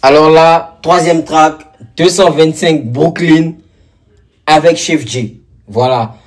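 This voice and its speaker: A man hosting a music reaction show with casual, excited commentary, repeating words and phrases for emphasis. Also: on the high side, takes it slowly. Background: rap music playing faintly.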